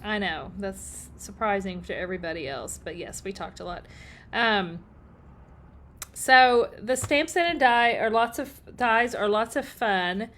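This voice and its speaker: A middle-aged woman, slightly hoarse, chatting upbeat through a casual live crafting demo and greeting viewers by name. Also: mid-range voice, measured pace, a bit bright. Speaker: paper-crafting demonstrator.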